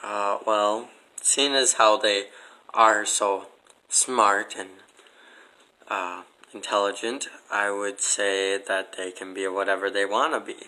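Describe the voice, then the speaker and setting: A man's voice: lowish voice, fairly even intonation, slow, bright, forceful tone, poor audio. A man chatting casually as an interview guest, with a blunt, skeptical way of talking. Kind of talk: casual chat.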